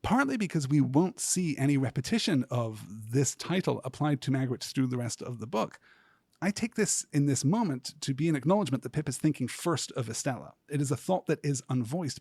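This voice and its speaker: A man hosting a podcast, delivering scripted literary commentary in a measured, articulate way with deliberate, emphatic phrasing. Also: mid-range voice, a little mellow, breathy edge, no echo, studio quality. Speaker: podcast host.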